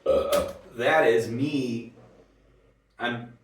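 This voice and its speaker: A man's voice: mid-range voice, drawn-out words, roomy sound, recorded from a distance, slight background noise, rough recording. A man whose first language is Swedish, from Finland, casually and ramblingly explaining his old tape recordings, swearing and laughing at himself now and then. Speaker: musician and video creator.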